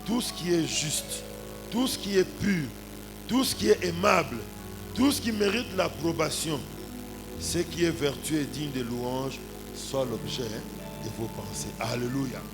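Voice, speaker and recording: Male preacher, forties, Congolese accent, fairly high voice, rhetorical sermon delivery, prominent music behind. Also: measured pace. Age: 40s. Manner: punctuates points with exclamations and rhetorical questions. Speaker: pastor. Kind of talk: animated sermon with anecdotes and congregational address.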